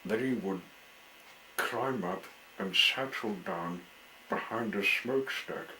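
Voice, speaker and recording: Male children's storyteller, unhurried deliberate phrasing, crisp bright tone, faint noise in the background. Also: on the low side, poor audio. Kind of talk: reading a children's story aloud.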